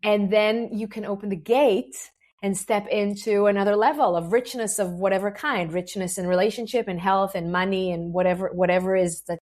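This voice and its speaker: A woman's voice: medium pitch, steady tone, moderate pace, a little mellow. A woman with an Israeli accent, a native Hebrew speaker, talking earnestly as a podcast guest and explaining ideas through analogies, often restarting phrases and checking in with "right?". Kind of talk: explanatory interview talk.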